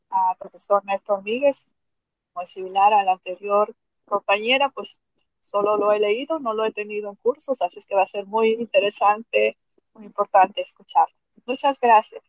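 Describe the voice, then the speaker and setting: A woman's voice: medium pitch, normal speed, no room sound, low-quality recording. A woman joins a video call from a park and introduces herself politely. Her voice comes through dry and close. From Peru. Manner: polite and friendly. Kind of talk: casual self-introduction. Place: outdoors in a park.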